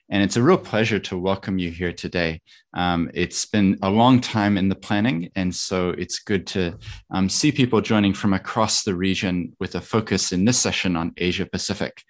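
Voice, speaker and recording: A middle-aged man hosts a podcast and panel event in a fairly low voice. He speaks as the one introducing guests and setting out the session. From New Zealand. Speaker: podcast host and panel chair.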